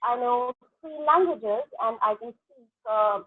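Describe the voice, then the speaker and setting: Indian woman, 30s, Punjabi native, candidate answering haltingly; husky voice, tinny phone audio. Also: fairly high pitch, lively intonation, no echo. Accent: Indian English. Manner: hesitant, many fillers and restarts. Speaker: exam candidate, dentist. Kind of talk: mock exam answers.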